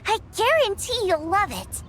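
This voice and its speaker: A woman voicing a cheerful, eager and hospitable teenage girl chef in an animated video game style. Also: extremely high pitch, measured pace, noisy background, full character voice. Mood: bright, confident. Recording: music behind the voice.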